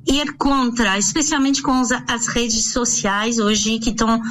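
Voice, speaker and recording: Woman author in interview, higher voice, slow and halting, lots of fillers. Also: steady tone, a bit bright, a little hiss or hum. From France. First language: French. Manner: slow, thoughtful, many fillers and restarts. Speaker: nutrition professional, author. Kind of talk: interview answers.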